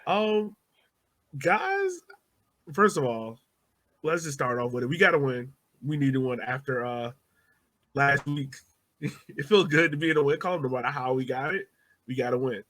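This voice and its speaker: A man hosting a casual soccer fan podcast, talking in an animated, playful, slangy way. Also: medium pitch, normal speed, pauses often. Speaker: sports podcast host.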